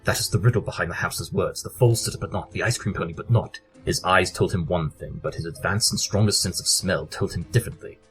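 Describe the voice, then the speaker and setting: Brilliant cartoon-pony detective, male actor: deep, formal, dramatic, excitable, built for audio drama exposition. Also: faint music behind, poor audio. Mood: analytical, pondering. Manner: theatrical, formal, deliberate exposition. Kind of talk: scripted audio drama acting.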